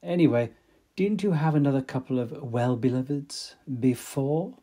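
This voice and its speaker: A man playing a wry, long-suffering gentleman artist, drily teasing, in a period audio drama. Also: mid-range voice, expressive, moderate pace, lightly acted. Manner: dry, teasing.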